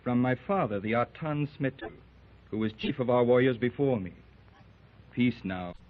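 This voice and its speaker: An actor playing a masked warrior chief who speaks slowly and formally in archaic English, in a 1930s radio drama. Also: male voice, medium pitch, natural voice. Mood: solemn. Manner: slow, formal and archaic, with thee and thou. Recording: tinny old 1930s radio recording.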